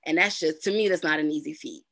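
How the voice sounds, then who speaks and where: Woman, New Jersey accent, low-set bright voice, dry close sound; chatty narrator guest. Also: fast, creaky, no room sound. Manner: chatty, uses lots of fillers and repeats. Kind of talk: casual interview chat.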